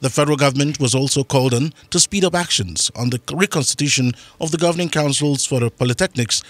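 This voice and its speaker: A man's voice: medium pitch, fast, no echo. Nigerian male newsreader, dry close sound. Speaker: radio news presenter. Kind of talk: scripted news reading.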